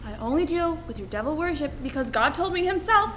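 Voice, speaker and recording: A woman's voice: sits high, expressive, fairly quick. A woman playing a prim, judgmental religious friend in a comedy sketch, earnest and preachy, in an echoey, noisy room. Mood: preachy, disapproving. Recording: echoey room, clear background noise.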